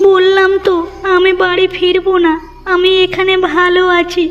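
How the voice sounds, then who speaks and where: A woman's voice: extremely high pitch, completely flat delivery, moderate pace. A woman voicing a hurt, stubborn young village woman, plaintive and insistent, in a moral-story cartoon. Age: young adult. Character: wounded, stubborn young village woman, about twenty-two. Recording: dull audio with music underneath.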